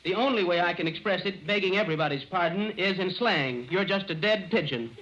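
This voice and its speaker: A prim, flowery tutor of manners in 1940s radio comedy. His voice is high and bright, full of pompous pleading. The recording is muffled. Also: a little fast. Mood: exasperated. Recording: muffled old radio recording, studio audience.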